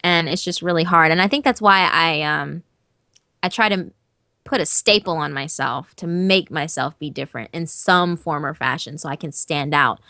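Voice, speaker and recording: A woman who narrates horror stories, chatting as an interview guest in an animated, emphatic way and swearing casually. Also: low voice, normal speed, dry recording, clean studio sound.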